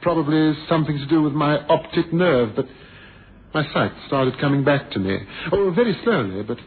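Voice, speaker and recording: An actor playing a cold, vain, calculating murderer with a suave, condescending, theatrical manner in a radio drama. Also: male voice, fairly high pitch, expressive, normal speed, slightly rough. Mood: smug, calculating. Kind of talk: 1970s radio drama acting. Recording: tinny, noisy old radio broadcast.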